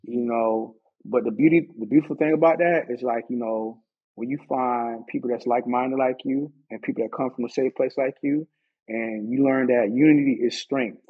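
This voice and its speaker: An American man speaks as a podcast guest in a husky, mid-pitched voice. His delivery is casual and halting, with repeated phrases and check-ins. The recording is a little dull and lo-fi. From Orlando, Florida, United States. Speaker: podcast guest, martial arts instructor. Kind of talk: casual conversational storytelling.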